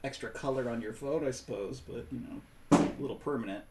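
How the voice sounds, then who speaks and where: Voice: mid-range voice, fairly quick, recorded from a distance. A co-host chatting casually while working at a hobby table. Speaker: co-host of a tabletop miniature painting channel. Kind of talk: casual chat while painting miniatures. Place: indoors at a shared painting table. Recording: echoey room, clear background noise.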